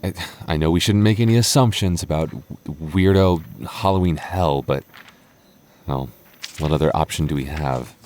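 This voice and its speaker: A man's voice: rumbling low, darkish tone. A man playing an anxious, protective goth boyfriend in an audio roleplay, stammering and wry as he talks closely to his partner. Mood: wary, wry. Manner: stammering, halting, nervous, wry.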